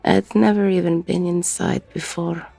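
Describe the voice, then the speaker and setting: A woman playing a weary, regretful ex-convict bar owner in an intimate audio roleplay, with a husky, gravelly voice and a reflective delivery full of pauses. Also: lowish voice, fairly even intonation, mellow, dry recording, faint music behind. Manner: slow, reflective, pausing mid-sentence.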